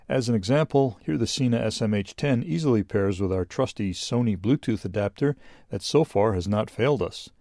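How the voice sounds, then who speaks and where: A man's voice: on the low side, warm tone, dry recording, clean studio sound. A man reading scripted narration for a product review video in a plain-spoken, explanatory way.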